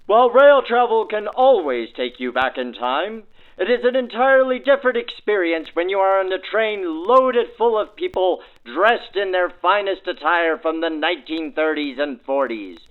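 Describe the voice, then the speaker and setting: A man's voice: high-pitched, expressive, normal speed. A man hosting on-location interviews in a polite, articulate, formal way, through a vintage 1940s radio filter. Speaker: interviewer and show host. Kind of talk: on-location interview with narrated links. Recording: tinny narrow-band old radio sound.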